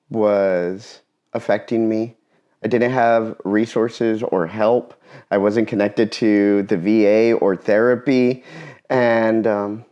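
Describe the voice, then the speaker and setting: A man giving a personal talk in an earnest, reflective way, pausing often between phrases. Spanish is his first language. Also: lowish voice, a little mellow, highs cut off. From Eastern Washington, USA.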